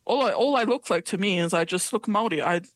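A young woman with a New Zealand accent, from South Auckland, talking earnestly as an interview guest, reflective and halting with frequent restarts. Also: medium pitch, lively intonation, dry recording. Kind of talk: casual conversational interview.

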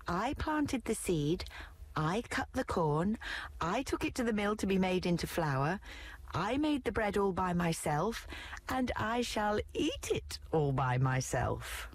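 Brief: a woman as a proud storybook hen, firm and prim, pitched up, slightly husky. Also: big pitch swings, slow. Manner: prim, self-reliant. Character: hardworking little red hen, proud and firm.

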